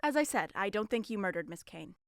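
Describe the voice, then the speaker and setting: A woman's voice: medium pitch, animated, brisk, no echo, studio quality, natural voice. A woman playing an earnest, guarded police interrogator in an audio drama, with a firm, businesslike and strained manner. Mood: firm, probing.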